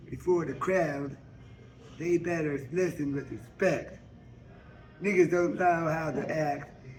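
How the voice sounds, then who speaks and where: A man's voice: a little high, pitched above the performer's own voice, poor audio. Man acting brash, bawdy woman singer, raised voice. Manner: forceful, frank, teasing, coarse. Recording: faint background noise.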